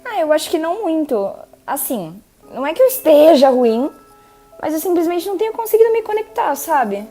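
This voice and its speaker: A tired, anxious young patient. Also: female voice, high-pitched, very animated, brisk, mellow. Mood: weary. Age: teens. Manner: hesitant, unsure replies. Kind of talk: audio drama acting.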